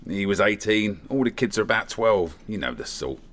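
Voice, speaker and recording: An actor playing an older, world-weary police inspector, dry and commanding in a radio play. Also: male voice, on the low side, animated, moderate pace, fairly bright tone. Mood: wry, knowing. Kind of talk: comedy radio play acting.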